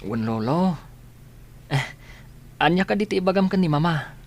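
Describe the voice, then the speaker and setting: A man's voice: on the high side, lively intonation, normal speed. Easygoing young Ilocano student played by a man. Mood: respectful. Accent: Ilocano Filipino. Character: polite young male student, respectful grandson. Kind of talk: radio drama acting.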